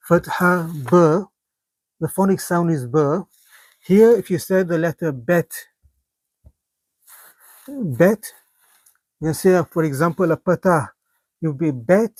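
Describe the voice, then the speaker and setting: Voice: medium pitch, darkish tone, dry recording. A teacher giving a careful beginner lesson, spelling out letter sounds one by one, with some fillers and restarts. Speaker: language teacher. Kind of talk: beginner lesson on script and vowel marks.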